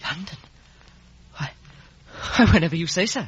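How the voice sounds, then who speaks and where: A man's voice: on the high side, expressive, moderate pace, husky, no room sound. An actor playing an earnest, polite young man in a 1950 radio drama, both acting and narrating. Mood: nervous, eager. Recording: muffled, hissy vintage radio recording.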